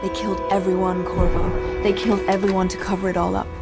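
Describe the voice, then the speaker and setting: A woman's voice: mid-range voice, level tone, fast, lightly acted. A woman playing an earnest, shaken, protective survivor in a dramatic video game. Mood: shaken, pleading. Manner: earnest, pleading. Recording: reverberant hall sound, music behind.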